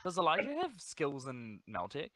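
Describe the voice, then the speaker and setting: A man's voice: a little high, wildly swooping pitch, normal speed, a loud crowd. A man playing a tabletop game over a call, eager and thinking aloud about his character's options. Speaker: player.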